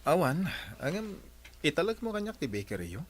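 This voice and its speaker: A man's voice: on the high side, very animated, a little fast, slight background noise. A man with an Ilocano Filipino accent playing a hesitant, evasive young fiancé in a radio drama.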